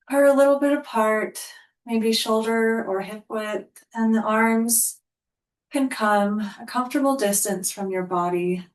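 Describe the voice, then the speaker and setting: A woman leading a guided yoga nidra relaxation as instructor, speaking calmly in short, deliberate phrases with pauses. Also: on the high side.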